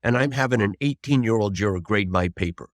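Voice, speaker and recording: A man's voice: lowish voice, no echo, clean studio sound. Man in his seventies, emphatic teacher. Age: 70s. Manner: emphatic, deliberate, with repeated rhetorical prompts. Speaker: trial lawyer, interview guest. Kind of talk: conversational teaching of advocacy tips.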